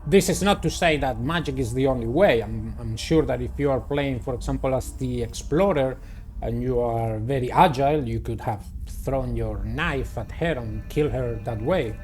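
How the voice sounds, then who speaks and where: A man hosting a gaming channel, giving halting, theatrical playthrough commentary and narration with formal wording. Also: medium pitch, a little mellow, faint music behind. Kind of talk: playthrough commentary and read-aloud visual novel narration.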